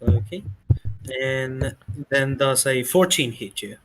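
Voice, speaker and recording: A man running a tabletop role-playing game as game master, making quick rules calls and describing the scene. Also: medium pitch, background noise.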